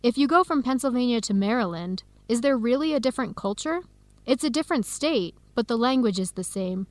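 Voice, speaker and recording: An upbeat young woman with an American accent , played by an actress in a radio drama. Her voice sits fairly high and is recorded dry and close. She chats clearly and expressively, like a researcher talking with her best friend. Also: expressive, brisk, no room sound, natural voice. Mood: earnest, explaining. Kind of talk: scripted radio drama dialogue for English learners.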